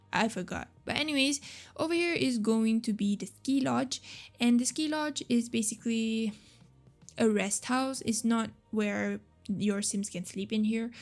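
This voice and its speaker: A woman giving casual, unscripted voice-over commentary on a game build, rambling and unsure, with a slightly raspy, tired voice. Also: mid-range voice. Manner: rambling, unsure, apologetic, giggly. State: voice tired from talking a lot. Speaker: gaming video creator narrating a build. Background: background music.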